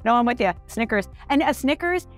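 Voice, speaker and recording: A woman hosts a relaxed interview chat and keeps it upbeat and friendly. Her voice sits a little high. Faint music plays underneath. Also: brisk. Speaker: host.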